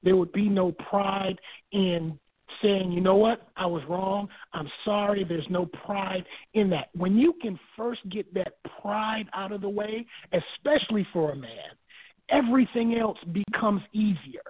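A man from Barbados, a guest author in a phone-in interview, speaking in a measured way and repeating points for emphasis. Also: high voice, no room sound. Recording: tinny phone line, dry.

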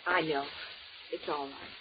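Cool composed wife, lowish voice, very polite and measured, noisy old radio drama. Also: female voice, fairly even intonation. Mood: composed, resigned. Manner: measured, formal, icily polite. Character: composed, coolly wounded wife questioning her husband and rival. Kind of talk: 1940s radio drama acting. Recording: muffled, noisy 1940s radio recording.

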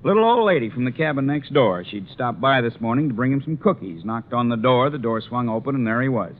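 An American actor playing a talkative small-town police sergeant in 1950s radio drama, plain-spoken and opinionated. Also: male voice, medium pitch, expressive, a little fast, close to an everyday voice. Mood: matter-of-fact. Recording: tinny, noisy 1950s radio recording.

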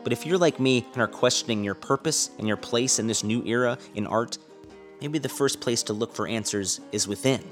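A man's voice: medium pitch, brisk, faint music behind. A man hosting a podcast, musing earnestly through rhetorical questions before he introduces a guest. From United States. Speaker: podcast host and musician. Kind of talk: reflective monologue and interview.

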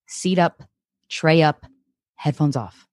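A woman's voice: low voice, takes it slowly, darkish tone, no room sound, studio quality. A woman reading the part of an exasperated, curt girlfriend of thirty in a dramatic script reading. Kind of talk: dramatic table read of TV pilot.

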